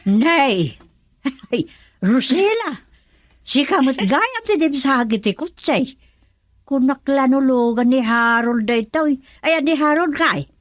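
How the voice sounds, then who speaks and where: A woman's voice: high-pitched, lively intonation, slow pace, no echo. A woman with an Ilocano accent playing a chatty, inquisitive village woman in a radio drama. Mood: excited.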